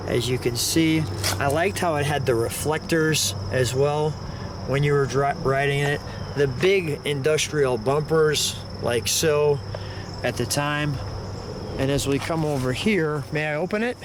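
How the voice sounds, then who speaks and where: American guy hosting a car video outside, kinda high voice, upbeat and chatty, noisy background. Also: unhurried. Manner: enthusiastic, chatty. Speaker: host of a car video series. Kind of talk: casual walkaround commentary and interview. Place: outdoors beside a parked car. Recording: noisy outdoor recording, insects and vehicles.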